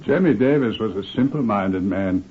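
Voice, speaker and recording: A man's voice: lowish voice, animated, moderate pace, slightly rough. A man narrating a scripted crime mystery in the manner of old-time radio drama. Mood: measured, grave. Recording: muffled, band-limited vintage radio recording.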